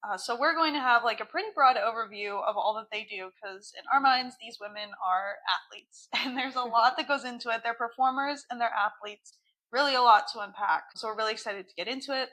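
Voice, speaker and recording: A woman co-hosting a podcast in casual chat, her voice hoarse from cheering, with many "like" fillers. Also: a little high, a little fast, pushed and bright, poor audio. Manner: chatty, lots of "like" fillers. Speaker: podcast co-host.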